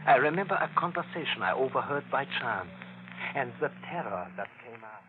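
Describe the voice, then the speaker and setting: A man playing an anxious, evasive waiter with a halting, formal, brooding manner in a vintage radio thriller. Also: medium pitch, big pitch swings, a little hiss or hum, natural voice. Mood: grim, haunted. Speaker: actor. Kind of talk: 1940s radio thriller acting. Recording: tinny vintage radio recording, music behind.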